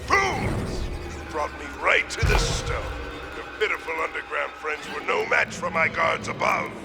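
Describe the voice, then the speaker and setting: Gravelly, gloating tyrant. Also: male voice, fairly high pitch, lively intonation, a little slow, full character voice. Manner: menacing, theatrical. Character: gloating, power-hungry tyrant villain man. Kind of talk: video game villain acting. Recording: loud music under the voice. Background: loud music.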